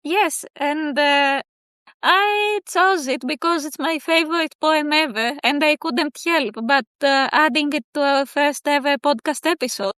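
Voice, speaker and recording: A high-pitched woman whose native tongue is Greek answers questions about her poetry, pausing often and filling gaps with soft hesitations. Her voice is bright and clear, recorded close and dry with no room sound. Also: no echo. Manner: thoughtful, hesitant with many fillers. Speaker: poet guest. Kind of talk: casual interview answers.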